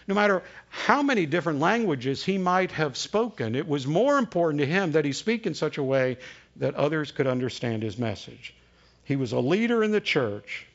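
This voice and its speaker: A man's voice: mid-range voice, muffled recording. Folksy Southern pastor in his sixties. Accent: Southern American. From American South. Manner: folksy storytelling with frequent uh fillers. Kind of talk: sermon.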